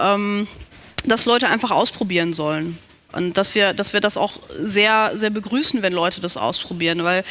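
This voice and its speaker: High, bright-voiced man chatting informally. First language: German. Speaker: volunteer interpreter on a discussion panel. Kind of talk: casual unscripted discussion.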